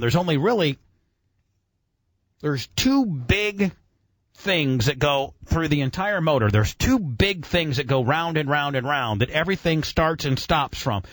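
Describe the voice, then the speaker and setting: A man's voice: a little high, fairly bright tone, dry recording. A man hosting a casual radio show, explaining and quizzing with an enthusiastic, profane, teacherly manner.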